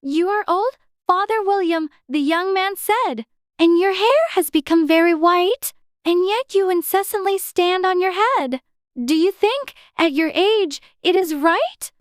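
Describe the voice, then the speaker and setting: Woman as a very high-voiced, polite young girl. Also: animated, measured pace, no echo, studio quality. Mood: dutiful recitation. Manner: polite, proper, earnest. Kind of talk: dramatized audiobook character acting.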